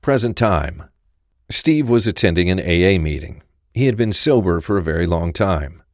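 A man delivers scripted drama narration. His voice is fairly low, and the recording is dry, without room sound. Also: expressive, normal speed, dry recording, faint music behind.

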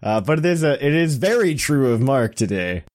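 A man's voice: mid-range voice, sing-song, normal speed, darkish tone, dry recording, clean studio sound. A man hosting a podcast in a casual, joking, self-deprecating chatty way. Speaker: podcast host. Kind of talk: casual chat.

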